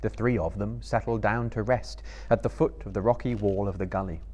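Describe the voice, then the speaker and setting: A man's voice: lowish voice, fairly even intonation, a little fast, darkish tone, a little hiss or hum. A man in his thirties giving a dramatic literary reading as a storyteller to a live audience. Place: book-lined room before a small live audience.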